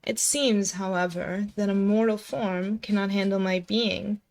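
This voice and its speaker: Slow, possessive demoness voiced by a woman. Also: mid-range voice, fairly even intonation, a little mellow, close to an everyday voice. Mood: possessive, doting. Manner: slow, commanding, teasing, doting. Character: possessive, dominant seductive female demon in a stolen body. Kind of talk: intimate ASMR roleplay. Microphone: close binaural microphone.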